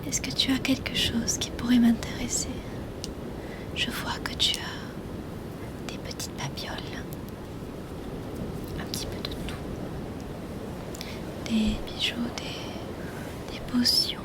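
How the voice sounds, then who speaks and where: Here is a mysterious mermaid healer, acted by a woman, whispering in a fairly high feminine voice. Her intonation stays very level and hushed, intimate and teasingly questioning. She is a shrewd, curious bargainer in an ASMR fantasy roleplay. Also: steady tone, slow pace, pauses often. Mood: curious, coaxing.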